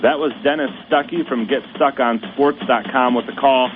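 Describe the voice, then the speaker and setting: A man hosting a high school sports radio show, reading scripted news in a steady, measured announcer voice. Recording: tinny radio broadcast with faint hiss.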